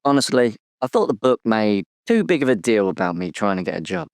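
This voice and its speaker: A man's voice: on the low side, sing-song, a little fast, no echo, studio quality. A British man playing a tiny, aggrieved, boastful cartoon-book character in an audio drama interview, chatty and colloquial. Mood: grumbling, candid.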